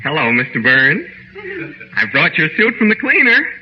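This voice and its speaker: A man's voice: fairly high pitch, huge pitch swings, moderate pace. An actor playing an effusive, melodramatic delivery man in a theatrical old radio comedy style. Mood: earnest. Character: high-voiced, overwrought cleaner's delivery man, gushing then melodramatic. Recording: muffled old-time radio recording, faint noise.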